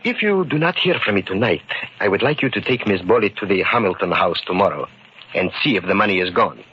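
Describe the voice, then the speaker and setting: An actor playing a calm, resolute immigrant shopkeeper in old radio drama style, with measured, formal phrasing that repeats words. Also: male voice, on the low side, natural voice. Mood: calm, deliberate. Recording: dull, noisy old radio recording.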